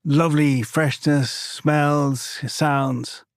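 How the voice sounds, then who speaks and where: A man's voice: on the high side, steady tone, extremely slow, dry recording, studio quality. Reflective male podcast host. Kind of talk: interviewing.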